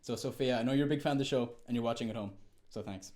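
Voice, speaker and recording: A man with a mid-pitched voice hosting a mock awards segment in a conversational way. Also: rapid-fire, a little mellow. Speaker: awards show presenter. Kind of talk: mock awards show presenting.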